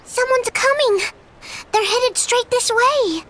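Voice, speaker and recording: An actress playing a tiny, squeaky, anxious companion in a fantasy game. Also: female voice, takes it slowly. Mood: alarmed. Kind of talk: dramatic voice acting for a fantasy game. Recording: distant voice with clear background noise.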